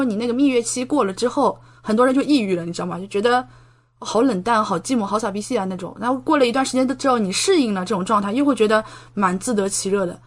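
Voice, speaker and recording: Chatty young woman explaining things. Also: on the high side. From China, Shanghai area. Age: young adult. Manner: chatty, explanatory, gives examples with acted-out dialogue. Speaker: podcast guest, doctoral student in Japan. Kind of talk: casual interview conversation.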